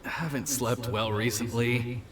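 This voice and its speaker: An actor playing an anxious, dutiful clan officer in a video game, with a hesitant, apologetic manner. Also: male voice, mid-range voice, steady tone, measured pace, slight background noise, lightly acted. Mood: troubled, apologetic. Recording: game music underneath.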